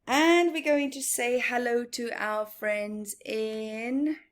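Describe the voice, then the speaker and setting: A woman's voice: fairly high pitch, slow pace. A woman presenting a story time for young children, talking in a singsong way with repeated words and questions to her listeners. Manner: asks listeners questions and answers them. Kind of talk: children's read-aloud and teaching, addressed to young viewers.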